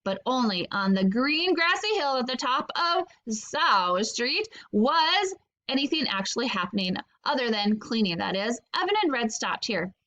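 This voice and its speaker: Bright, high-pitched woman reading a children's story. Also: expressive, moderate pace. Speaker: teacher reading aloud to pupils. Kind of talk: expressive children's book read-aloud.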